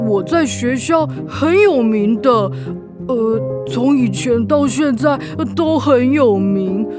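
Crybaby witch aunt for children's radio play: high, unhurried, huffy, stammering, easily flustered. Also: animated, music in the background. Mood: sheepish. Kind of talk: children's audio drama acting.

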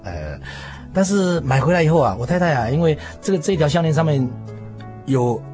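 Halting Taiwanese Mandarin man over music. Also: fairly high pitch, sing-song. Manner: halting, repeats phrases, many sentence-final particles. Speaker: preacher, interview guest. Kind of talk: conversational reflection in a radio interview. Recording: music playing behind the voice.

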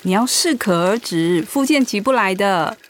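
An actress playing a gentle, caring, encouraging wife in a Mandarin radio drama. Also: female voice, mid-range voice, expressive, moderate pace, slight background noise, natural voice.

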